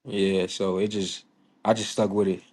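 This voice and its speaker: A man's voice: fairly low pitch, level tone, normal speed, husky. A man in his twenties from Virginia, a musician talking casually in an interview, laid-back and full of fillers. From Virginia, United States. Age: 20s. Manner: laid-back, many fillers, soft-spoken. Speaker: musician guest.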